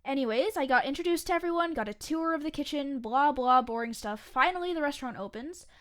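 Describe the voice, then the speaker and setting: A woman's voice: sits high, fast talker, a bit bright. A young woman playing a sarcastic, grumbling eighteen-year-old waitress in a fiction podcast, snippy and sardonic. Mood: sardonic recounting. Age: young adult. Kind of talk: audio fiction podcast acting, conversational.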